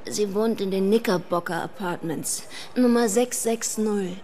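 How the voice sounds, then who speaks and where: Brash streetwise woman played by an actress, medium pitch. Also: unhurried, warm tone, slight background noise, close to an everyday voice. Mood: brash, flippant. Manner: flippant, slangy. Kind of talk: radio crime drama acting.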